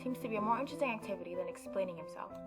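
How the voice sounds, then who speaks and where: A woman reads visual novel narration aloud as a gaming YouTuber. She slips between storytelling and casual commentary. Game music is clearly audible behind her medium-pitched voice. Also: expressive, racing.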